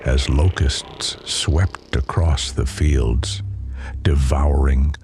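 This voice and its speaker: A man giving scripted, theatrical first-person narration for an audio drama in a solemn, measured manner. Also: a bass voice. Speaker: narrator and voice actor. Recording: prominent music behind. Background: music.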